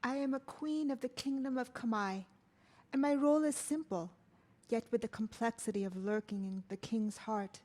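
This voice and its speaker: A woman's voice: a little high, normal speed, a little mellow, lightly acted. A woman reading the part of a queen torn between two loves at a table read, reciting her lines like a poem. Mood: solemn. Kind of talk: table read of a stage play.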